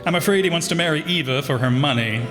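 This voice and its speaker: A man's voice: fairly high pitch, fast, natural voice. A man playing an unruffled, dismissive and evasive adoptive father in an old-time radio drama. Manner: unruffled, dismissive, hesitant phrasing. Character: unworried, evasive adoptive father of a wealthy young woman. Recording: echoey hall with music behind.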